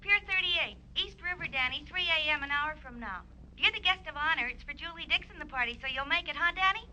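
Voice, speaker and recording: Noisy old radio recording of a mysterious girl. Her voice is very high and piercingly bright, coy and teasing in a noir manner. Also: animated, quick pace, exaggerated voice. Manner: playful, baiting. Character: coy, teasing, mysterious young female caller. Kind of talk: 1950s radio noir acting.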